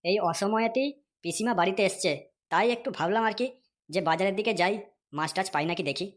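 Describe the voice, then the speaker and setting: A woman's voice: medium pitch, fast talker, close to an everyday voice. A woman voicing a stingy young man in a comedy cartoon who frets and grumbles with lots of swearing. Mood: pleading. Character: stingy young man, fretful and short-tempered. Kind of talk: Bengali comedy cartoon dialogue.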